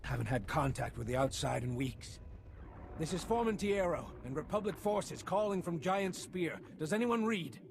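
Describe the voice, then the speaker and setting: An actor playing a weary, aggrieved construction foreman in a video game, with a gruff and blunt way of talking. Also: fairly high pitch, expressive, moderate pace, a little hiss or hum. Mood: urgent calling. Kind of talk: video game cutscene acting.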